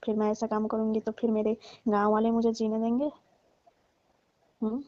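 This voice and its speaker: Woman, deferential and pleading, higher-pitched, fairly even delivery, private call with muffled sound. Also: moderate pace. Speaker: domestic worker on a call with employer. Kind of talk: private phone conversation. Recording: muffled, roomy phone call recording.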